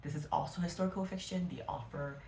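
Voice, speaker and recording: A woman's voice: low voice, fast, a little mellow, slight background noise. A woman reviewing her favourite books of the year in a casual, gushing, rambling way, often correcting herself mid-sentence. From Canada. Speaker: book vlogger. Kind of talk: casual book review to camera. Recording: echoey room.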